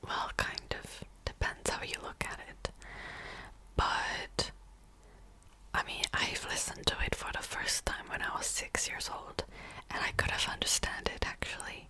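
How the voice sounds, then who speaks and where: Young woman whispering asmr close to the mic, slow and kinda bright. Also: poor audio. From Czech Republic. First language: Czech. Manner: soft unvoiced whisper, rambling with small laughs. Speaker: ASMR creator. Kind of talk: whispered ASMR reading wrap-up.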